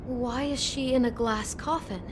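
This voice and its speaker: An actress playing a shaken, compassionate young woman in a video game, speaking in an anxious, halting, emotional way. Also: a little high, steady tone, background noise, lightly acted. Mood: disturbed. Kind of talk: naturalistic video game voice acting.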